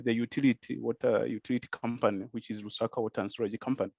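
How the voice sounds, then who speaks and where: A man from Zambia with a Zambian accent answers as a panelist, explaining deliberately and posing rhetorical questions. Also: mid-range voice, no room sound, muffled recording. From rural Zambia.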